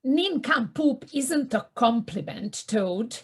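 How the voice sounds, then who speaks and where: Audio play mole, played by a woman: earnest, homely, gentle, slow-spoken with a high pitch. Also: lively intonation, husky, exaggerated voice. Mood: correcting. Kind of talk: community audio play acting. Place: living room, recorded over video call.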